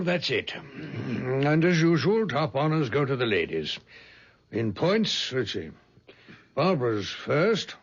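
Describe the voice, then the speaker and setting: An actor playing a genial older colonel, an effusive and avuncular host, in 1970s radio drama style. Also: male voice, on the high side, creaky, husky, full character voice. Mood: expansive, avuncular. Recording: dull 1970s radio broadcast.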